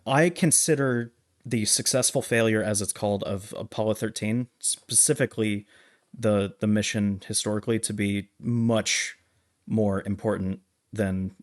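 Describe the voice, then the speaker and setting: A man's voice: lowish voice, steady tone, normal speed. A man co-hosting a podcast, discussing film in a casual, explanatory way. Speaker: podcast co-host.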